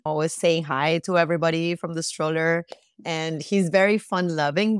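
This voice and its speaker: A middle-aged woman from Costa Rica speaks as an interview guest in a slightly low register. The sound is dry and close, and she answers in a conversational way. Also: no room sound.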